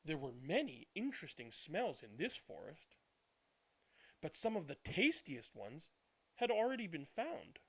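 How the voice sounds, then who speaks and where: A man reading a children's picture book aloud as a storyteller, dramatically, with drawn-out words and hushed passages. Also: fairly high pitch, wildly swooping pitch, breathy edge. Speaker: read-aloud storyteller and channel host. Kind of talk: expressive children's picture book read-aloud, then casual chat.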